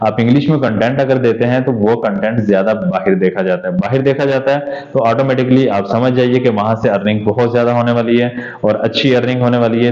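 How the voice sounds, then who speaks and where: A man hosting a software tutorial, explaining step by step in an instructive way and often checking that listeners follow. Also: mid-range voice. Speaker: tutorial host. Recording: echoey room, slightly muffled.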